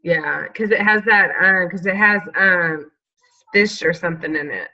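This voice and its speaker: Woman with a head cold, bright voice, rambling casual chat, muffled recording. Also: fairly low pitch, unhurried. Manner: rambling, informal. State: stuffed up with a cold. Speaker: panel member.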